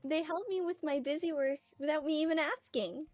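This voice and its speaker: A woman's voice: high voice, fairly quick, a little mellow, no echo, faint music behind, close to an everyday voice. A woman voicing a bubbly, scatterbrained teen girl. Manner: bubbly, eager. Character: cheerful, scatterbrained teenage girl. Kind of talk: fan voice acting of a visual novel.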